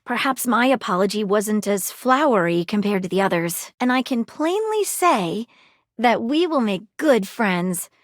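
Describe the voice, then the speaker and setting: A woman voicing an earnest, flirtatious, plain-spoken amateur detective in a video game, with theatrical, deliberate phrasing. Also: medium pitch, lively intonation, no echo, studio quality, natural voice. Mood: sincere, measured. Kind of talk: expressive scripted game character dialogue.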